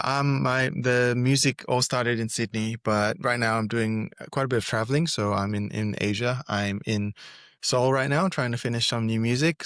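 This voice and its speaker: A man's voice: mid-range voice, a bit bright, dry recording, studio quality. A man from Singapore, a musician, answering interview questions in an easygoing, hesitant way, often restarting his phrases. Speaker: musician guest. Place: Seoul, recording remotely.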